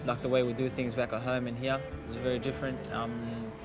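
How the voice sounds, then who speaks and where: Young man, from South Sudan, flat even voice, hesitant, loud music bed. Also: mid-range voice, fairly quick. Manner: hesitant, many "um" and "like". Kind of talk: documentary interview.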